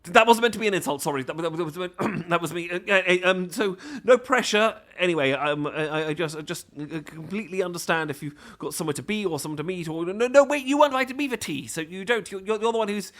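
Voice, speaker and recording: A man's voice: high voice, fast, hardly any pauses, a bit bright. A man playing a stammering, adorkable British bookworm. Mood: flustered, eager. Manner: stammering, hesitant, flustered rambling. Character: awkward, adorkable, bookish British man, nervous and apologetic. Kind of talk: romantic audio roleplay, ASMR.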